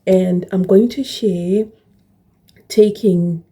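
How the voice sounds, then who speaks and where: A woman in her early forties with a mixed Zimbabwean and British accent, talking reflectively and deliberately about personal experience, repeating phrases for emphasis. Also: medium pitch, steady tone, warm tone, breathy edge. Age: early 40s. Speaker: video blogger. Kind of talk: personal reflective talk to camera.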